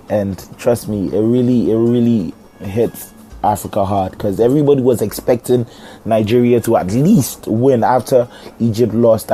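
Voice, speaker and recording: A man's voice: fairly low pitch, warm tone. A young man hosting his podcast, speaking while he has a cold, chatty and rambling with fillers. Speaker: podcast host. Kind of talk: casual podcast monologue.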